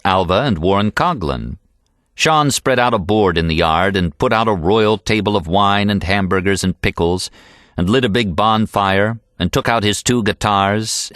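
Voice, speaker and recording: A man's voice: on the low side, a bit bright, dry recording, clean studio sound. An American man reading a literary novel aloud as an audiobook narrator.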